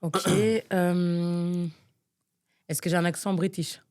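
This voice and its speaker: Lowish-voiced woman from Nigeria, exasperated. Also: flat delivery, takes it slowly, darkish tone. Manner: exasperated, thinks aloud with many fillers. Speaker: podcast co-host. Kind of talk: casual chat, guessing game.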